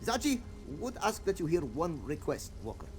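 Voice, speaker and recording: A man's voice: high-pitched, sing-song, moderate pace. An actor playing a boastful but cowardly, lovable cat-man adventurer in a fantasy video game, theatrical with nervous hesitations. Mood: subdued. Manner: theatrical bragging with nervous hesitation, third-person speech. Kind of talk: video game voice acting, comic fantasy dialogue. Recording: game audio with faint music behind.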